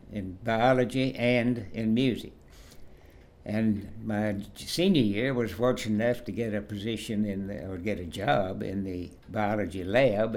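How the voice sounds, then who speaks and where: A man, reminiscing in an oral history interview in a halting way, often repeating and restarting words. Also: lowish voice, a little mellow. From Kentucky, USA. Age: 80s. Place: at his home.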